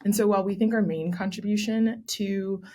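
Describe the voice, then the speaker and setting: A woman's voice: mid-range voice, steady tone, moderate pace, mellow. A young adult woman, raised in Texas, giving articulate, explanatory interview answers as a guest, often adding "right?". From born in Nigeria, raised in Texas. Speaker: guest, commissioning editor.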